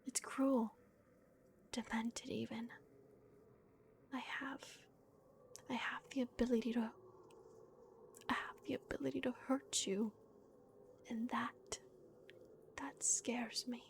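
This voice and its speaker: Woman playing a gentle remorseful vampire, slow breathy whisper, creaky edges, ASMR roleplay, noisy ambience behind. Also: fairly high pitch, steady tone. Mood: anxious, hesitant. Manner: slow, hushed, hesitant pauses. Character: gentle, remorseful vampire guardian of a lost child. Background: dark castle ambience.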